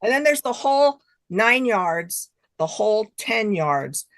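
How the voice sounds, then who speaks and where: A woman's voice: medium pitch, very animated, measured pace. A woman author chatting casually as an interview guest, enthusiastic and repeating phrases for emphasis. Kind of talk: casual chat.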